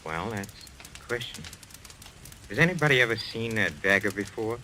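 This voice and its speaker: A man's voice: lowish voice, expressive, moderate pace, pushed and bright. A man acting in an old-time radio mystery as a courteous, faintly mocking medium, speaking. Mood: grave, composed. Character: suave, theatrical Frenchman, a séance medium under suspicion. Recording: noisy old radio recording.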